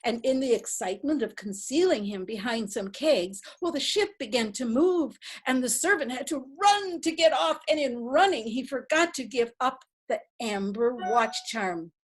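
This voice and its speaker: A woman's voice: fairly high pitch, lively intonation, normal speed, hardly any pauses, breathy edge. A woman reading the part of a kindly, flustered old lady who knits and rambles through her story. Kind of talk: readers theatre reading.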